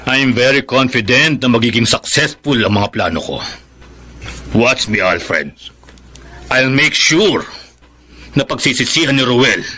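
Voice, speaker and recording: An actor playing a confident, vengeful schemer in a Filipino radio drama, threatening and self-assured, with a Filipino accent. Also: male voice, medium pitch, animated, measured pace, pauses often, bright, forceful tone. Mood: smug, threatening.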